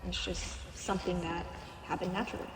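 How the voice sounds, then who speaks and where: A woman's voice: fairly low pitch, flat delivery, fairly quick, lightly acted. A woman playing a playful, foul-mouthed undead guide in an audio roleplay. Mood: explanatory. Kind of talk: audio roleplay acting, conversational. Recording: echoey reverberant space with clear noise.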